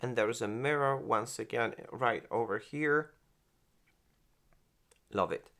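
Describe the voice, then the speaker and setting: A man in his forties, a beauty vlogger reviewing makeup, who gushes and exclaims often and speaks in halting phrases with many restarts. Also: mid-range voice, a bit bright. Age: 40s. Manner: gushing, exclaims and laughs often. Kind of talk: product review and makeup tutorial.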